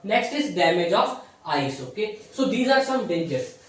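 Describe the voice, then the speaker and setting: A woman's voice: medium pitch, big pitch swings, moderate pace. A woman with an Indian accent giving an explanatory physiotherapy lecture in a didactic way, repeating key points with little checking tags. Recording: very echoey room, distant mic.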